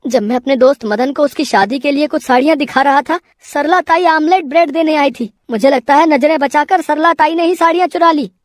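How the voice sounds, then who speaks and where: Woman as a high-voiced, sly male shop worker. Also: brisk, hardly any pauses, no room sound, studio quality, natural voice. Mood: anxious, sly. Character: sly young male shop worker, eager to blame others. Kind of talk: cartoon moral story voice acting.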